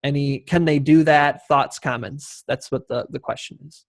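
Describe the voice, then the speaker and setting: A man's voice: fairly high pitch, fairly even intonation, normal speed. A man moderating an online town hall informally, passing questions along to the panelists.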